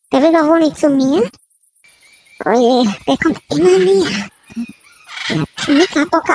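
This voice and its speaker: A woman voicing a hungry, proud young male shepherd dog in a comedy audio sketch, grumbling to himself in an inner monologue with wild swings in pitch. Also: full character voice. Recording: clear background noise.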